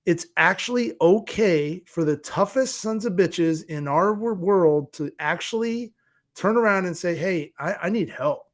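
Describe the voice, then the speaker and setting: A man's voice: high voice, expressive, a little slow. A man giving earnest, motivational interview talk as a guest, emphatic and plain-spoken. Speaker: podcast guest, speaker for a support movement.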